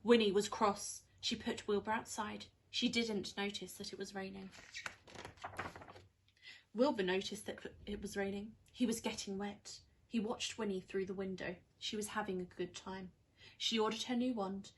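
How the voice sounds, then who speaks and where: A woman reading a children's story aloud like a primary school teacher, with expressive, dramatised narration. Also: mid-range voice, normal speed.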